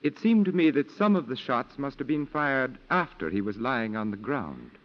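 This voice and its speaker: An actor playing a measured, reassuring lawyer and family friend in a 1940s radio drama, formal and assured. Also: male voice, medium pitch, expressive, natural voice. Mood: probing, gentle. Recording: muffled, faintly noisy 1940s radio recording.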